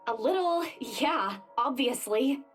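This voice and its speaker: A stubborn, worn-out young woman who gives in reluctantly. The voice is high and very lively, swinging up and down as it protests, in visual novel style. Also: slow pace, faint music behind. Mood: sulky resignation. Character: tired, stubborn young woman trainee, self-deprecating.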